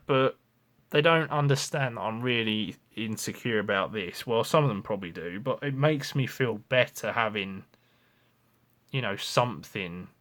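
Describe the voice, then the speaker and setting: A young man in his twenties speaks straight to camera in a rambling confessional vlog. His voice sits a little low, and he keeps restarting his sentences and swearing as he talks it through. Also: fairly bright tone. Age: 20s. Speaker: vlogger.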